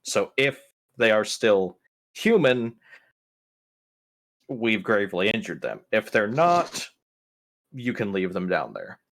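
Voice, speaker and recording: A man playing an impatient, blunt spaceship captain in a tabletop game, giving exasperated orders with hesitant pauses. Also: lowish voice, big pitch swings, vocal fry, fairly bright tone, full character voice. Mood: grudging.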